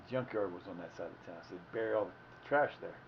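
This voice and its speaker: A man's voice: low voice, fast talker, lo-fi sound, background noise. A man in his fifties from Ohio, rambling and correcting himself as he tells casual stories on a livestream. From Ohio, United States. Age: 50s. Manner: meandering, self-correcting, folksy. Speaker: livestream host.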